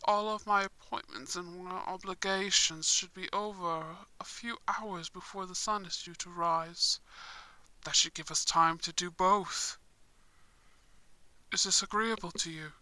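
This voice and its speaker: A courtly vampire prince acted out by a woman for a romantic ASMR roleplay. The voice is bright and forward, recorded close and dry. The phrasing is formal and unhurried, with a suave, smug charm. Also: lowish voice, steady tone, no room sound, voice pushed down, rough recording. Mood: courtly, considering.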